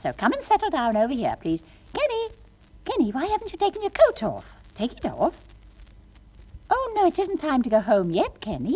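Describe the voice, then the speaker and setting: Swooping, patronising English nursery teacher. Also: female voice, high-pitched. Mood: coaxing, firm. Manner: sing-song coaxing with gentle firm scolding. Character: patient, brightly patronising female nursery school teacher of small children. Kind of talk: comic monologue, one side of classroom talk.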